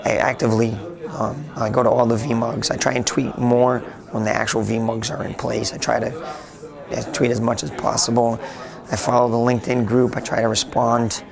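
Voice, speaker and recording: A raspy, gravelly-voiced man chats loosely in an interview, doubling back and restarting his sentences as he goes. He sounds a bit hoarse from a long day of talking. Busy crowd chatter hums behind him on a convention floor. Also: mid-range voice. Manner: rambling with frequent restarts. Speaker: interviewee, tech blogger. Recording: noisy crowded convention hall.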